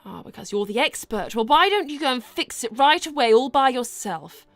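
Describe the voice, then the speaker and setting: Woman voicing a high-pitched, stern, wounded cat princess. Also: lively intonation, normal speed, no room sound, soft background music. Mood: angry, wounded. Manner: curt, formal, scolding. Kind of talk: improvised live character roleplay.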